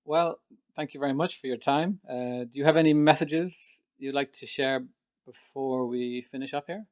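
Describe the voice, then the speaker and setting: A man's voice: medium pitch, normal speed, a little mellow. A man interviewing two guests, asking plain, polite questions.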